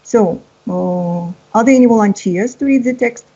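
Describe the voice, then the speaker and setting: A woman's voice: fairly high pitch, normal speed, mellow, slightly rough. A woman, teaching an online English exam-prep lesson, hesitant with many fillers and encouraging. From Russia. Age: 50s. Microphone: video call. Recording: dull lo-fi call audio.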